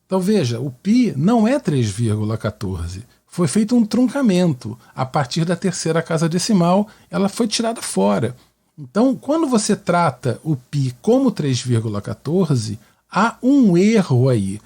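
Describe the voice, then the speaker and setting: A man's voice: on the high side, very animated, moderate pace, creaky, mellow, slightly rough. A man from Rio de Janeiro with a Carioca Brazilian accent, hosting and answering questions in a conversational, didactic way with frequent checking tags. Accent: Carioca Brazilian Portuguese. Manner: didactic, with frequent tag questions seeking agreement. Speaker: podcast host and mathematics teacher.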